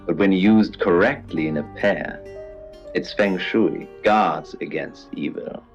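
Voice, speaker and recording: An actor playing an eerie, theatrical male host of a spooky late-night radio show, speaking deliberately. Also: sits low, creaky, exaggerated voice. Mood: eerie, showy. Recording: dull lo-fi radio sound over music. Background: background music.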